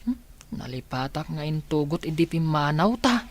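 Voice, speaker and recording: A woman's voice: sits low, expressive, normal speed. A woman playing a practical, protective older-brother boy in a radio drama. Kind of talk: Filipino radio drama acting.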